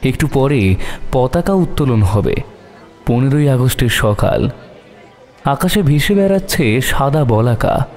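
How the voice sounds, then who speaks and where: A man's voice: medium pitch, animated, measured pace, long pauses, a little mellow. A man narrating a scripted horror audio story in a dramatic storytelling style. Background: music.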